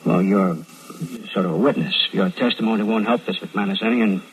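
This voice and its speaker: An actor in a 1950s radio drama playing a measured, probing police lieutenant. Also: male voice, lowish voice, breathy edge, rough recording. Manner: measured, questioning. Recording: old radio broadcast with faint hiss.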